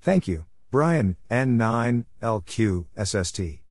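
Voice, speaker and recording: A man narrating practice exchanges in a scripted readout, spelling out letters and short phrases in a clipped, deliberate way. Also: lowish voice, big pitch swings, dry recording, studio quality.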